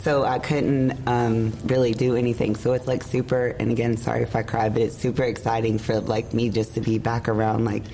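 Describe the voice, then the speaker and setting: A middle-aged woman with a hoarse, worn voice, hosting a casual podcast and rambling openly. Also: extremely low pitch, little pitch movement, brisk, few pauses, mellow, slight background noise, poor audio. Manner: rambling, emotional, laughs often. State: hoarse, lost voice. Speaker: podcast host. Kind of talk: casual chat. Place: room at an event hotel. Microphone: shared mic.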